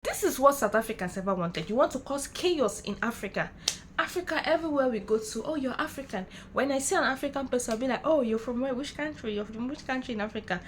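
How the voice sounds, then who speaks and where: High-voiced woman video host, slightly noisy. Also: lively intonation, fast talker. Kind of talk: informal opinion commentary. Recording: noisy, with background ambience.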